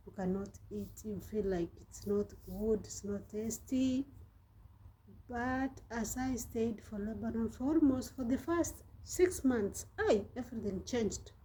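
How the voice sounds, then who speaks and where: Slow-talking woman vlogger, warm voice. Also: on the high side, a little hiss or hum, poor audio. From Kenya. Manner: chatty, repeats and restarts phrases, laughs often. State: sweating from heat. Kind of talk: casual vlog talk to camera. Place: hot room in the Gulf.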